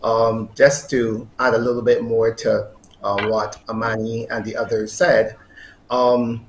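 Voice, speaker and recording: A man's voice: medium pitch, little pitch movement, a little slow. A man, an athletics coach answering interview questions. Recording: roomy echo, faint background noise.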